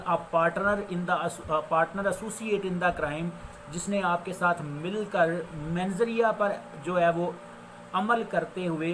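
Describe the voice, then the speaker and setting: A Pakistani man with a fairly high voice explains legal points as a lecturer. He speaks very slowly and deliberately, often restating phrases. There is faint noise behind him. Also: a bit bright, poor audio. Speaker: law lecturer, advocate. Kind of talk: explanatory law lecture mixing Urdu with English legal terms.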